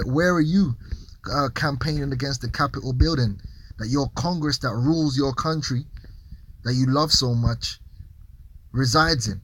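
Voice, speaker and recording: A man's voice: medium pitch, background noise. A man giving confrontational religious commentary as a Hebrew Israelite preacher, challenging and profane, with many repeated restarts.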